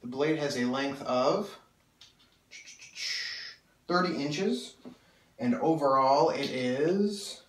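A man's voice: medium pitch, extremely slow, pauses often, recorded from a distance. A young man talks at the camera in a small room, his voice a little nasal as he gets over a cold. He rambles eagerly with plenty of fillers and restarts. The mic sits a few feet away and catches a roomy echo off the walls. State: slightly nasal, coming off a cold. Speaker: sword collector reviewing blades. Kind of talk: casual video review. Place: small room full of swords, indoors. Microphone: camera mic from several feet away.

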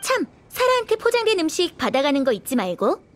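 An actress playing an aloof young noblewoman knight in a video game, reserved and composed with hidden warmth. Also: high-pitched, wildly swooping pitch, a little fast, a little hiss or hum. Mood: awkward, reserved.

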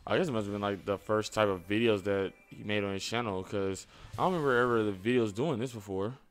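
A man's voice: on the low side, quick pace. A young man hosting a reaction video, talking casually and offhand as he comments on what he is watching. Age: young adult.

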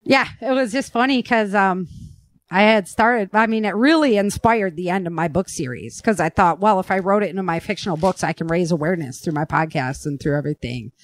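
A woman's voice: lowish voice, brisk. An American woman hosting a casual talk show, speaking in an earnest, opinionated and emphatic way. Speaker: podcast host and author.

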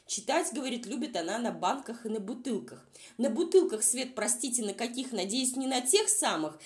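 A woman's voice: mid-range voice, a little mellow. A woman vlog reviewer who is unwell, giving informal, exasperated and sarcastic commentary. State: unwell, says voice is nearly gone. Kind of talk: informal mocking commentary over video.

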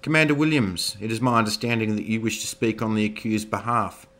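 A man's voice: fast, fairly bright tone, close to an everyday voice. A man voicing a stern general presiding over a military hearing. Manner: booming, authoritative.

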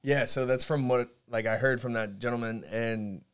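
A young man in his twenties co-hosting a casual podcast, telling relaxed, joking stories into a stand mic in a small studio. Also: mid-range voice, normal speed, clean tone. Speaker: podcast co-host, bartender. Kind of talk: casual chat. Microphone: studio mic on stand, headphones.

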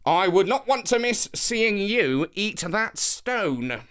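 Storybook trickster, acted by a male narrator: arrogant, scornful, suspicious, raised pitch, slow stretched words. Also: sing-song, a bit bright, clear, resonant tone, exaggerated voice. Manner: brash, scornful, drawn-out.

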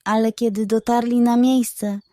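A woman narrating a scripted bedtime story for children in an expressive, suspenseful way. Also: fairly high pitch, a little slow, no room sound, clean studio sound.

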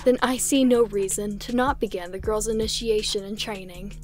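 An actress playing a composed, authoritative assassin commander in an audio drama, formal and matter-of-fact. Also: female voice, mid-range voice, steady tone, moderate pace, faint music behind, natural voice. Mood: cool, commanding.

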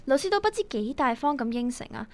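A woman's voice: high-pitched, expressive, fast talker. A woman playing a cheeky, bossy, outspoken young daughter in a radio drama. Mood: exasperated, bossy. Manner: bossy, teasing. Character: cheeky, outspoken young daughter who pushes her dad. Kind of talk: Cantonese radio drama acting.

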